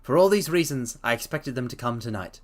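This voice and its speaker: A man's voice: mid-range voice, lively intonation, a little fast, close to an everyday voice. A man voicing a brilliant, languid detective explaining his deductions in a theatrical storybook reading. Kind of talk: dramatic reading.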